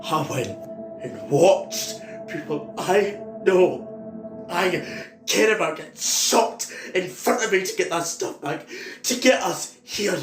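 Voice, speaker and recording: An actor plays a weary, defensive male survivor in an audio drama. He speaks very slowly and emphatically, with halting phrases, in an echoey space with music behind him. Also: high voice, animated. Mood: angry, hurt. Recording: roomy echo with music behind.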